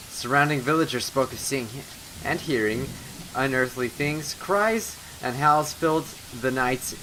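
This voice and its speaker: A man playing a solemn storybook narrator, reading horror game text in a dramatic, deliberate manner. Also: mid-range voice, a bit bright, slight background noise, lightly acted, low-quality recording. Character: solemn storybook narrator of a haunted mansion legend.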